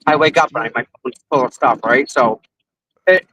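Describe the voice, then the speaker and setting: A man with a Boston accent, co-hosting a casual live stream, excitable and quick to repeat himself. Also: mid-range voice, poor audio. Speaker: co-host.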